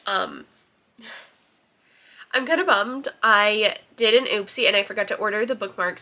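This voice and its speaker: A woman's voice: medium pitch, sharp tone. A young woman with a stuffy, nasal voice from a head cold, chatting casually and rambling a little in a book vlog. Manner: chatty, rambling, many ums and likes. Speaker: book vlogger. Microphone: camera in her room.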